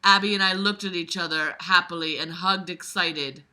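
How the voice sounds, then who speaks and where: Exasperated woman with a very bright voice. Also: on the low side, fairly even intonation, normal speed, poor audio. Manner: exasperated, blunt, sweary. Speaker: book reviewer reading aloud and critiquing. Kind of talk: casual sarcastic commentary while reading a novel aloud.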